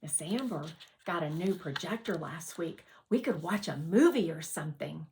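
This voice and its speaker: A woman's voice: deep voice, lively intonation, normal speed, darkish tone, slight background noise. A woman voicing a friendly, forthright schoolgirl in a storybook read-aloud, casual and encouraging. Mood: casual, suggesting.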